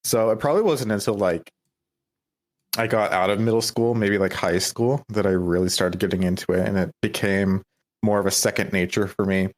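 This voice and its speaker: Chuckling young man, low voice. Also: fairly even intonation, normal speed. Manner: self-deprecating, many fillers, laughs easily. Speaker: podcast guest. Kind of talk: casual interview chat.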